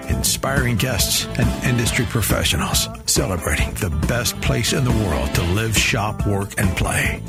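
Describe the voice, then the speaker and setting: A man announcing a radio show intro in a smooth, polished delivery. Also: lowish voice. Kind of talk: scripted show intro over music. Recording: announcer voice over loud music bed. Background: loud guitar music.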